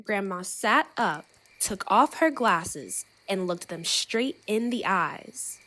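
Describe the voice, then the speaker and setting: A woman reading a children's book aloud as expressive, rhythmic, dramatic storybook narration. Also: medium pitch, slow, slight background noise. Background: music.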